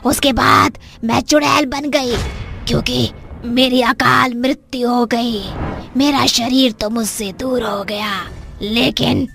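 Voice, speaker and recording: A woman's voice: a little high, fairly even intonation, takes it slowly, creaky, a bit bright, soft background music, heavily acted. A woman playing a sorrowful, vengeful witch ghost, a wronged mother, in a dramatised horror story. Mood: anguished.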